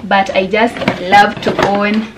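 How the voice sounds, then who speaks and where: A woman's voice: mid-range voice, little pitch movement, slow. Young kenyan woman vlogging at home, chatty, mixes in swahili words, bit of background hiss. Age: young adult. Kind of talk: casual vlog chat to camera. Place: small home room.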